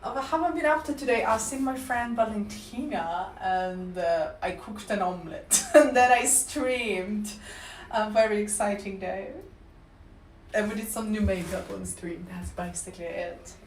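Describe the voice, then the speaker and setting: Russian-accented woman streamer, playful and chatty, mid-pitched, roomy distant mic. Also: a little slow, slight background noise. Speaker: livestreamer. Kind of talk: casual stream chat.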